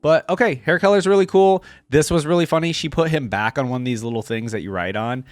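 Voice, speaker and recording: A man's voice: a little high, fast talker, no room sound. A man hosting a reaction video, talking casually and informally with frequent exclamations.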